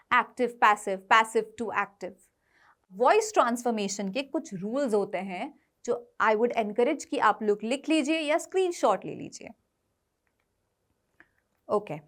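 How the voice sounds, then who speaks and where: A woman with an Indian accent and a distinctly high voice teaches grammar for exam students. She speaks slowly, often asking a question and then answering it herself. Her explanations move back and forth between two languages. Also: a bit bright. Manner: switches between Hindi and English. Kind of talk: exam coaching lesson.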